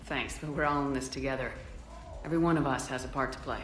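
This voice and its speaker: A woman's voice: extremely low pitch, fairly even intonation, fast talker, fairly bright tone. A woman playing a tough, composed military commander in a video game, with a dry, confident delivery. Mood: composed, firm. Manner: dry, self-assured. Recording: roomy, faint music behind.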